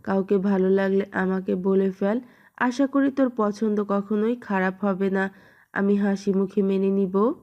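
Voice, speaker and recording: A woman's voice: mid-range voice, steady tone, unhurried, muffled recording, lightly acted. A woman playing an affectionate, teasing mother of a grown son in an audio story, with a familiar, motherly manner. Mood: teasing, caring. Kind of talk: audio story character dialogue.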